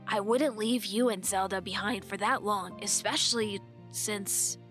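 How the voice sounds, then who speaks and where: A woman's voice: medium pitch, steady tone, unhurried, music in the background, lightly acted. A woman voicing an earnest, subdued young male hero, sincere and hesitant, in a fantasy story. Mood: reassuring.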